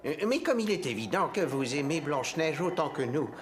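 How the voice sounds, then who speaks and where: A man plays a kindly, doubtful dwarf in a fairy-tale cartoon. The voice is slightly high for a man, with a courteous, hesitant way of speaking. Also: very animated, a little fast.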